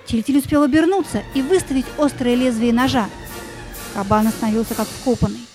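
A woman reading expressive scripted narration for a fairy-tale audio play, with music behind her. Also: on the high side, fairly quick.

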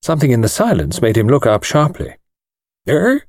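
British guy reading a mystery audiobook, pitch moves around a lot, super dry sound. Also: very animated, fast, a little mellow, no echo. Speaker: audiobook narrator. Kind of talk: scripted narration of a mystery novel.

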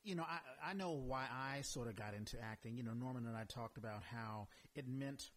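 A man's voice: mid-range voice, brisk, fairly bright tone. A man hosting a podcast interview, asking loose, rambling questions and often murmuring agreement. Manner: frequent short backchannels, loose questions. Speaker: podcast host. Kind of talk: casual interview chat.

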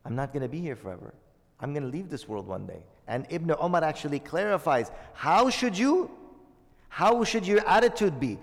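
Man teaching in an echoing hall. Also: a little high. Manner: explains Arabic terms, asks the audience questions. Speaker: Islamic teacher. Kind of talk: hadith lesson to a congregation.

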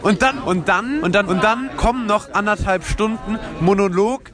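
A man's voice: high-pitched, moderate pace. A man giving a casual, blunt, slangy review as an audience member, often repeating himself. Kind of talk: casual spoken theatre review. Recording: outdoors amid clear crowd chatter.

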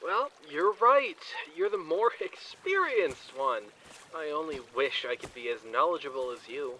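An actor in an audio drama playing an eager, cheeky junior scientist. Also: male voice, on the high side, lively intonation, measured pace, pushed and bright, slight background noise, poor audio. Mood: playful, wheedling.

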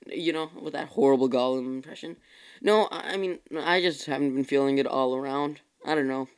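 A man's voice: mid-range voice, brisk, clear, resonant tone. A tired young man hosting his own podcast, chatting casually in a rambling way and often correcting himself. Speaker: podcast host. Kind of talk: casual solo podcast chat.

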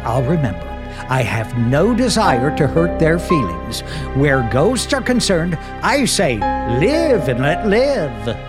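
An actor playing a brash, joking skeptic of a disc jockey in an old radio drama. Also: male voice, fairly high pitch, big pitch swings, slow pace. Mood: wry. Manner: flippant, joking, chatty. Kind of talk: 1940s radio acting, live broadcast patter. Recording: 1940s radio drama recording with music.

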